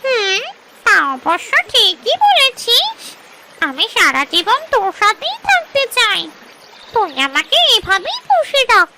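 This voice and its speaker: Cartoon she-ghost: extremely high, wildly swooping, blunt and sulky yet fond, noisy track. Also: very animated, measured pace, heavily acted. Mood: affectionate. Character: female ghost with long arms, sulky but affectionate. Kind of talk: Bengali cartoon story voice acting. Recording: strong noise behind the voice.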